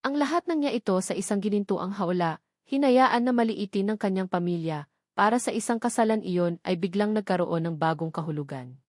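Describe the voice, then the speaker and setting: A woman's voice: mid-range voice, brisk, darkish tone, dry recording, clean studio sound. A woman reading a scripted romantic drama audiobook as its narrator.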